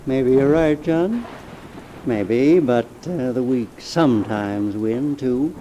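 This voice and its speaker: An actor playing a weak, defeated accomplice facing death in an old radio drama, halting, resigned and bitterly ironic. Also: male voice, medium pitch, warm tone, slight background noise, lightly acted, low-quality recording. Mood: weakly, bitterly amused. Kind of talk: 1950s radio drama acting. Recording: old radio recording under rain and thunder.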